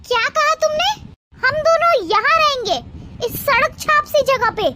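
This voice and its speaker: A woman as a shrill, haughty young wife. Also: a little slow, bright, forceful tone, full character voice. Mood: disgusted. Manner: disdainful, demanding. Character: haughty young city wife, scornful and bossy. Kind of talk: animated moral story dialogue.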